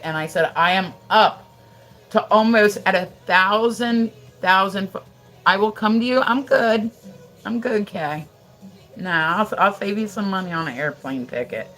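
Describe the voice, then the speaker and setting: An American woman livestreaming from her house, chatting casually and gossipy, full of fillers and swearing, answering her viewers as she goes. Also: medium pitch. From United States. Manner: chatty, gossipy, loose and profane, lots of "like" fillers.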